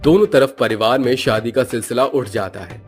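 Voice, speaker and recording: Man, horror cartoon storyteller, scripted narration, medium pitch, music behind. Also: moderate pace.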